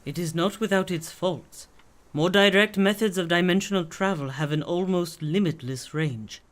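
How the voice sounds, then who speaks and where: A learned mystic of dream worlds played by a man in a radio drama. His voice sits somewhat high for a man. He is formal, measured and cryptic. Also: lightly acted. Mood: knowing, measured. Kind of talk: radio play acting.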